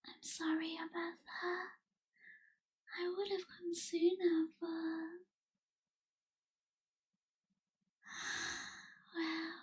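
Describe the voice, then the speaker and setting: A woman playing a gentle, caring young vampire in an intimate ASMR roleplay, soft-spoken and breathy, with tender hesitations. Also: very high-pitched, heavily acted. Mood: apologetic, confiding.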